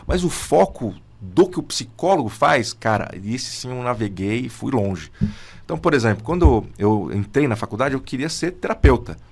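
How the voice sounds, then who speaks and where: A man's voice: medium pitch, moderate pace, gravelly. Brazilian man in his fifties telling stories. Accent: Brazilian Portuguese. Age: 50s. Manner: animated storyteller, casual slang like "cara" and "velho". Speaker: psychologist guest. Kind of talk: conversational podcast interview.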